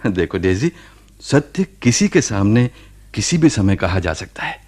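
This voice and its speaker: An actor playing a devoted, open-hearted young husband in a radio drama, speaking earnestly and affectionately. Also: male voice, fairly low pitch, very animated, unhurried, darkish tone. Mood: affectionate, playful. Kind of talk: Hindi radio drama acting.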